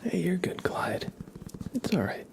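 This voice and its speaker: Slow, whispering man doing ASMR. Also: sits high, very animated. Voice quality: breathy whisper. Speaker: ASMR livestream host. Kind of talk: ASMR livestream chat, whispered and soft-spoken.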